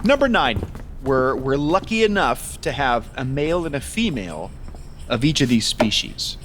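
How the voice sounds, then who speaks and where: A man's voice: mid-range voice, big pitch swings, moderate pace, background noise, lightly acted. A man playing a patient, flustered museum tour guide in naturalistic audio comedy, hesitant and politely explaining. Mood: eager, explaining.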